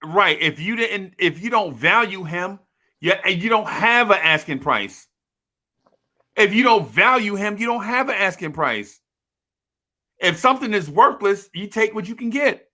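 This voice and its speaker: A man banters with a bright, sharp voice, teasing his co-host and joking around. He talks in a loose, playful way and drops "bro" often. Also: high-pitched, animated, unhurried. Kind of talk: casual sports radio banter.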